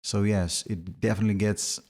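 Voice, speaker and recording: A man talks through a product review in a relaxed, chatty way, often with filler words. His voice sits low and boomy. It has a gravelly edge. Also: a little fast. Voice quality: deep, boomy voice. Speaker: gear reviewer.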